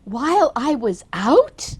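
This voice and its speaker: Lady doing a fluttery old auntie, real high voice, slow, swoopy. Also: big pitch swings, husky, full character voice. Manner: soft and fluttery. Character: gentle elderly spinster aunt, easily delighted. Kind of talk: amateur audio drama.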